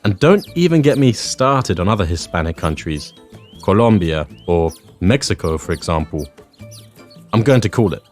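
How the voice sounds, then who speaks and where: Language-course host, London man, deep and expressive, unhurried, patient with learners. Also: soft background music. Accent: London English. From England. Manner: friendly, clear, teaching pace with repeat-after-me pauses. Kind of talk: presenting a Spanish lesson. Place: outdoors in a public garden.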